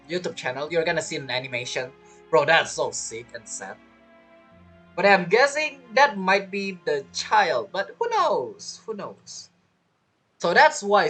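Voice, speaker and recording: Girl gamer reacting to a story quest, low voice but animated, super informal, music in the background. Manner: informal, musing aloud. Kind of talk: casual game playthrough commentary.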